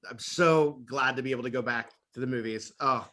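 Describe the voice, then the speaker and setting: A man's voice: medium pitch, brisk, sharp tone. A man of about thirty, a guest on a podcast, chatting in an upbeat, rambling way full of "uh" and "like.". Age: 30s. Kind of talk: casual chat.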